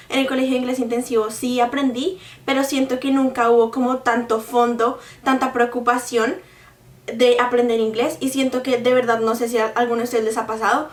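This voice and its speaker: A woman's voice: a little high, far from the mic. Earnest woman, echoey room. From Colombia. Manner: earnest, explanatory, direct address to viewers. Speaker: vlogger. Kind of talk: casual talk to camera. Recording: roomy, mic set back from speaker.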